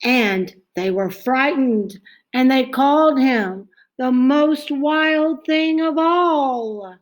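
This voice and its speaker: A woman reading a children's picture book aloud in a dramatic, expressive way, stretching out phrases and dropping into hushed tones. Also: high voice, extremely slow. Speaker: storybook reader.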